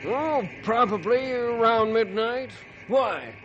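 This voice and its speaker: A man's voice: extremely high pitch, expressive, slow, muffled recording, over music, heavily acted. An actor playing a frank, loyal male railroad foreman in a 1950s radio drama. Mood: earnest. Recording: vintage 1950s radio recording.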